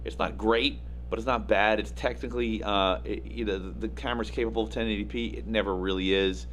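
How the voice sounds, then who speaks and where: Gravelly, raspy man with a low voice. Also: steady tone, brisk, fairly bright tone. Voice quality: gravelly, raspy, slightly nasal. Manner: rambling, self-deprecating, many fillers and restarts. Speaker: host. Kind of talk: casual livestream talk. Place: small home studio. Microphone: studio microphone. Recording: faint hum in the electronics. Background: faint electrical hum.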